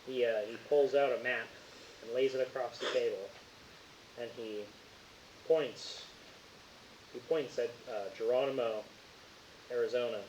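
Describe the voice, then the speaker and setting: A man's voice: medium pitch, fairly even intonation, unhurried, pauses often, darkish tone. A man running a tabletop game as game master, switching between casual banter and narration. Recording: dull roomy audio with faint hiss.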